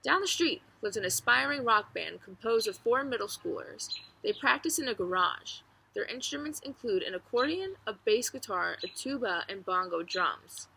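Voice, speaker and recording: A woman reading a children's book aloud as narrator, expressively, with clear storytelling phrasing and emphasis. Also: fairly high pitch, lively intonation, moderate pace, pushed and bright, poor audio.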